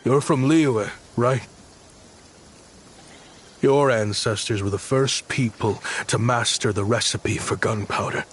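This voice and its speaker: An actor playing a guilt-ridden weapons scientist in a video game, speaking in a solemn, measured way. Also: male voice, medium pitch, a little hiss or hum, close to an everyday voice. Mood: remorseful.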